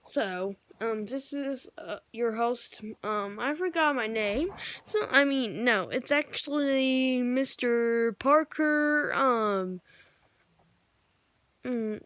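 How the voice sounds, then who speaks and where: A woman reading out homemade pretend news bulletins and emergency alerts in a halting, improvised way, with searching pauses. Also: sits high, a little hiss or hum. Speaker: amateur storyteller acting out a mock emergency broadcast.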